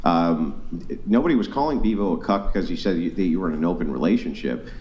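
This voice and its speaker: A very deep male voice belongs to an argumentative debate host who presses his guests with pointed questions. His voice rings in a noticeably echoey room. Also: lively intonation, quick pace, muffled recording. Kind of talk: live debate show.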